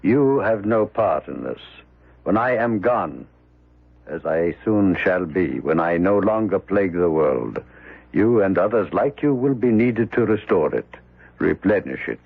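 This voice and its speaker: An actor playing Death incarnate in a radio drama, a solemn, merciless spectre with gravelly, formal speech. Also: male voice, sits low, animated, no echo, exaggerated voice. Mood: solemn, menacing. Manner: slow, solemn, formal, commanding. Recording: muffled, narrow-band old radio recording.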